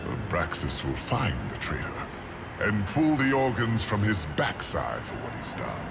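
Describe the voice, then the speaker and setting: Suave demon lord for a game, gravelly, pompous, real slow, music behind. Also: male voice, fairly low pitch, exaggerated voice. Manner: pompous, silky, mockingly courtly. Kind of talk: video game cutscene acting.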